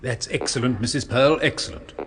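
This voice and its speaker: An actor playing a patronising, eager British doctor-scientist with precise, clinical speech in a 1970s radio horror drama. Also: male voice, mid-range voice, brisk, a bit bright, husky. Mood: pleased, reassuring. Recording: noisy old radio recording.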